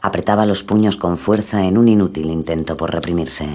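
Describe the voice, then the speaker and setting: A man's voice: sits low, brisk, dark tone. A man giving scripted, dramatic true-crime narration.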